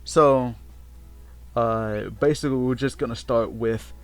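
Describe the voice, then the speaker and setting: A man hosts a casual let's play in a mid-pitched voice. His commentary is unscripted and halting, with phrases repeated and reworked as he goes. Game music plays behind him. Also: fairly even intonation, smooth. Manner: halting, repetitive, self-correcting.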